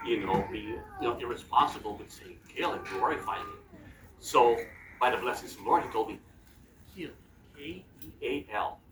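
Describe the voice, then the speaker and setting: A man with a Filipino accent tells animated stories to a crowd at a fan Q&A, acting out the lines he quotes and laughing along the way. His voice sits a little high. He is heard from a distance in an echoey hall with noise behind him. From Philippines. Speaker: TV show judge at fan Q&A. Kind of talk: storytelling to a live audience.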